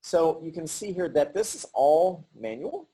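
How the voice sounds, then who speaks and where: A middle-aged man giving a halting virtual artist talk over a video call, with many pauses and restarts. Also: a little high, very animated, mellow, breathy edge, low-quality recording. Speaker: artist presenting his work.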